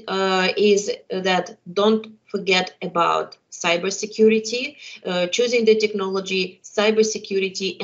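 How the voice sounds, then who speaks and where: A woman's voice: medium pitch, vocal fry. A woman in her thirties moderating a panel webinar over a video call, talking with many fillers and hesitations. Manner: hesitant, many fillers, non-native phrasing. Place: home room with window, indoors. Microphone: laptop webcam video call. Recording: dull lo-fi video call audio.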